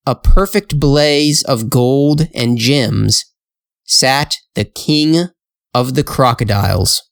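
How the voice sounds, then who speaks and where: A male storyteller reads a fairytale very slowly for learners. His phrasing is careful and measured, his pitch sits in the middle, and the sound is dry and close. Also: no room sound. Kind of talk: slow scripted fairytale narration for English learners.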